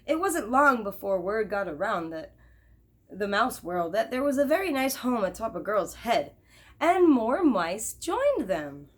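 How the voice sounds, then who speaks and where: A woman reads a picture book aloud as a teacher would for children. Her voice sits fairly high and moves up and down a great deal. She is expressive and drops in chatty asides to her young listeners. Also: measured pace.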